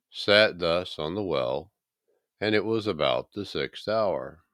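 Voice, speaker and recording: A man giving a solemn, scripted reading of scripture, with measured, emphatic and dramatic phrasing. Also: deep voice, clear, resonant tone, no echo, studio quality. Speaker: scripture reader.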